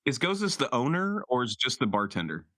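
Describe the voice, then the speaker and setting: A man's voice: mid-range voice, big pitch swings, moderate pace, pushed and bright, no room sound, studio quality. A man speaking casually and off the cuff as a player in a tabletop roleplaying game. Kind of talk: casual, unscripted game talk.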